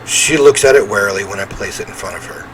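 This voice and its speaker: Low-voiced man with very animated delivery. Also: fast talker, slight background noise, poor audio. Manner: throat-clearing, wry asides. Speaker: game streamer reading a visual novel aloud. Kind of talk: dramatic read-aloud with casual commentary.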